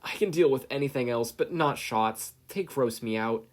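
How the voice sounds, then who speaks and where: A man's voice: medium pitch, moderate pace, voice pushed up, natural voice. A man voicing a courteous, squeamish young boy, earnest in manner, in a read-aloud story. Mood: queasy. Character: polite, squeamish young boy with odd powers.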